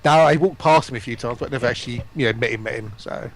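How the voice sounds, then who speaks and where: A man's voice: mid-range voice, quick pace. A man, a streamer guesting on a casual chat among gamers.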